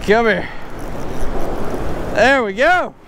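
A high-voiced man with a drawl narrating his fishing out on an open beach. He talks casually and gets excitable, whooping when a fish hits. Waves crash clearly behind him on an outdoor camera mic. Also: very slow. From Alabama. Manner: excitable, bursts into whoops and exclamations. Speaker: fishing vlogger. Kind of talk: casual on-the-spot fishing commentary. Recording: outdoor beach recording with clear surf noise. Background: surf and waves.

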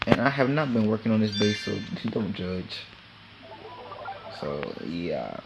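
Teen male gaming host, low and gravelly, laid-back and rambling, phone-recorded with faint background noise. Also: very animated, unhurried, over loud music, rough recording. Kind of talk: casual gameplay commentary. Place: home with train passing outside. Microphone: phone screen recorder.

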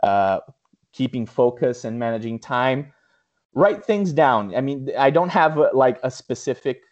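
A man's voice: mid-range voice, lively intonation, unhurried, a little mellow. A young man on a video call talking with many fillers and restarts, recorded indoors through earphones. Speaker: interviewer. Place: small room indoors. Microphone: earphone headset on video call. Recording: dull lo-fi video call audio.

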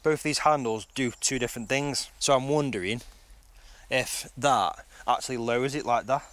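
Man in his thirties, chatty and curious, middle-pitched voice, phone mic outdoors in a forest. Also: moderate pace, a bit bright. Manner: chatty, curious, thinking aloud. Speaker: vlogger. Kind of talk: casual outdoor exploring vlog. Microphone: phone held at arm's length.

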